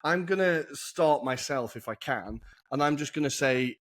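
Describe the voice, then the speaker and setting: A man's voice: mid-range voice, expressive, fairly bright tone. Chatty young male podcast host. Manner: chatty, enthusiastic, quick asides. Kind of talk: casual football podcast chat. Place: humid room in a city flat.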